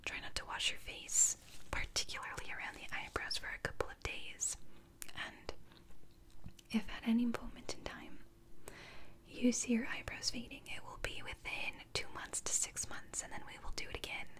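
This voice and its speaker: Young woman whispering softly for ASMR. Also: on the high side, flat delivery, moderate pace. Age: young adult. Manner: soothing, gentle, reassuring. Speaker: ASMR creator playing a beauty spa technician. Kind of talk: ASMR roleplay, soft whispering.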